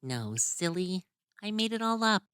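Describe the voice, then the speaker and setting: A man's voice: sits high, big pitch swings, a little slow, bright, forceful tone, smooth, no room sound, studio quality, full character voice. A man voicing a cheerful, naive young male cartoon sponge who talks in an eager, sing-song way, in a comic fan dub.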